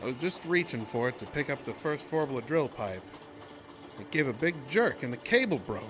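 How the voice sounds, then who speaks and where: An actor playing a rough-spoken oil rig worker telling his own eerie tale in a colloquial, old-time radio horror monologue. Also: medium pitch, sing-song, normal speed, background noise, natural voice. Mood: grim, matter-of-fact. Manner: colloquial, folksy, haunted storyteller.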